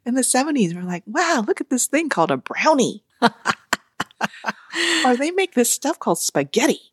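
A woman in her forties, born in Vietnam, telling family stories in a relaxed interview, laughing often and acting out what her relatives said. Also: fairly high pitch, normal speed, no echo, studio quality. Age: 40s. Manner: animated, laughs often, acts out family quotes. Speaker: guest. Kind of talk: casual storytelling interview.

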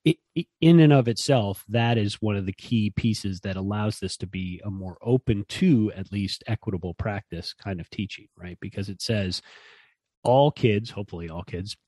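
A man's voice: lowish voice, normal speed, dark tone, no room sound. A man co-hosting a casual conversation about teaching, thoughtful, often repeating words as he works out his point, with a dry sense of humor. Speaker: podcast co-host.

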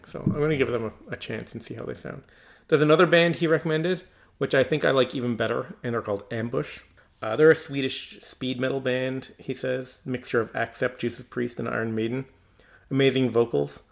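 Man, gravelly voice, casual podcast chat, rambling, many fillers. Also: medium pitch, a little fast. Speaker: podcast co-host. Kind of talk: casual chat about heavy metal.

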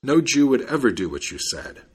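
Storybook judge performed by a male reader, stern and doubting, dry delivery with a husky edge. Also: medium pitch, sing-song, a little fast. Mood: skeptical. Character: stern, skeptical male judge in a folk tale.

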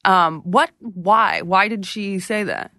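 A woman's voice: medium pitch, slow pace, sharp tone, no room sound, studio quality. A woman host asking probing questions and adding her own opinions in a musing way. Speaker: interviewer host. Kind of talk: interview questions and commentary.

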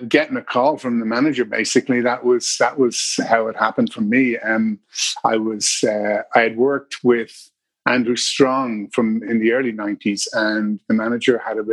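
Irish man, husky, rambling storyteller. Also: mid-range voice, dry recording, rough recording. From Ireland. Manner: rambling, many restarts and fillers. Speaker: bass player guest. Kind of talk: casual interview storytelling.

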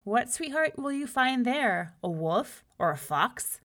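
A woman's voice: medium pitch, unhurried, lightly acted. Woman playing a taunting, boastful storybook older brother. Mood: mocking. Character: mocking boastful older brother, young farm man. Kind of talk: children's storybook character voice.

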